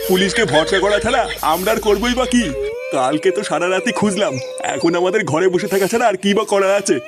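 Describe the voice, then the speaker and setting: A man's voice: high voice, quick pace, crowd noise, close to an everyday voice. A man voicing a worried, earnest old grandfather in a comic cartoon. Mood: consoling. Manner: earnest, pleading, consoling. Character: worried, plaintive old grandfather of missing boy.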